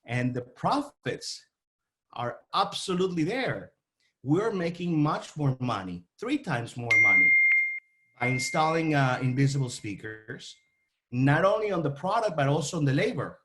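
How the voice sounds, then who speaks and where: A man answering interview questions about his trade in a halting, repetitive way, searching for his words as he explains. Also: a little high, sing-song, sharp tone, slight background noise. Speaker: audio-visual installation company representative.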